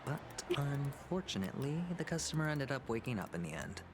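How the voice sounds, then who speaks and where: An actor playing a smooth, sly young man in a video game who talks in a teasing, playful way. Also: medium pitch, big pitch swings, brisk, background noise, natural voice. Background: game music.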